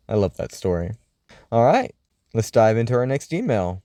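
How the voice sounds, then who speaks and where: A man's voice: lowish voice, sing-song, a little slow, warm tone, a little hiss or hum. A man working as a host, reading listener mail in a scripted radio-show style with a theatrical, wry, musing delivery.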